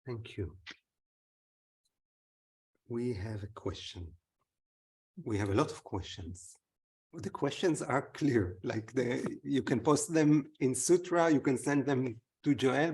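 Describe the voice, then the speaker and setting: Israeli-accented guy hosting a webinar, gravelly voice, lots of thank yous. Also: medium pitch, a little mellow. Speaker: webinar facilitator. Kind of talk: moderating a webinar.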